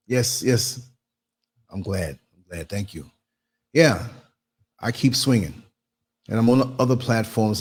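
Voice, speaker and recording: A man in his late fifties with a husky, mid-pitched voice hosts an informal livestream. He talks like a motivational speaker chatting with his viewers, emphatic and given to repeating key phrases. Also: darkish tone. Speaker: livestream host and podcaster.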